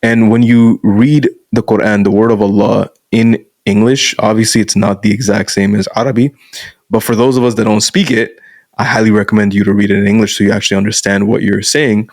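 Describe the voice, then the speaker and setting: Blunt, lowish-voiced man talking frankly. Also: little pitch movement, fairly quick, a little mellow, dry recording. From Canada. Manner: frank, blunt, peppers speech with "bro". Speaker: guest masculinity coach. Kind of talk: casual podcast conversation.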